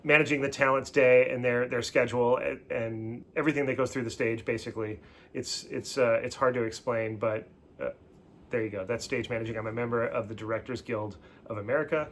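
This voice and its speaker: A man's voice: mid-range voice, steady tone, brisk, a bit bright. Casual man in his forties. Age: 40s. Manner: rambling, self-interrupting explanations. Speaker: co-host of a couple's channel. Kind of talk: casual chat.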